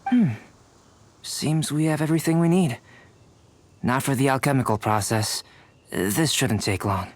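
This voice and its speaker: An actor voicing a calm, analytical young male alchemist in a video game, measured and polite. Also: medium pitch, slight background noise, natural voice. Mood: composed, analytical.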